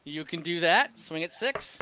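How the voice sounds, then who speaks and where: A man's voice: fairly high pitch, expressive, moderate pace, bright, forceful tone, no echo. A man running an online tabletop game as game master, informal and encouraging as he explains things.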